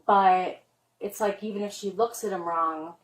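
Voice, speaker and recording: A middle-aged mother explains things to an officer in a rambling, anxious way, leaning on "like" after every few words. Her voice sits at an ordinary middle pitch. It is picked up from a few steps away by a body camera in an echoey room. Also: fairly even intonation, a little slow. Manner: rambling, heavy use of "like" fillers, earnest and worried. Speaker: mother reporting to police. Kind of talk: spoken statement to an officer. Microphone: police body camera worn by an officer. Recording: roomy, distant body camera audio.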